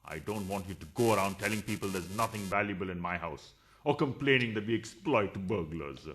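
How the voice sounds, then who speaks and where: A man's voice: deep voice, expressive, fairly quick, background noise. A man playing a scheming, philandering householder in a farcical radio play, sarcastic and evasive. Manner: sarcastic, evasive, fumbling through excuses. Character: flustered, scheming philandering householder, sarcastic middle-class husband.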